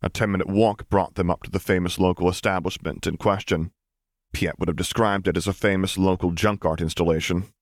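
Deep-voiced man narrating a story. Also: fairly even intonation, fairly quick, no echo, studio quality. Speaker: audiobook narrator.

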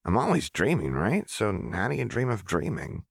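A man voicing a restless, dreamy young woman who talks quickly and eagerly. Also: on the low side, sing-song, creaky, husky, no echo, studio quality, voice pushed up, exaggerated voice.

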